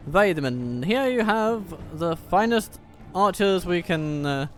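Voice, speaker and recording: A man's voice: high-pitched, expressive, a little slow, soft background music. A man whose first language is Danish, giving casual, wry commentary while playing a strategy game and laughing at his own jokes. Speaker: let's play host.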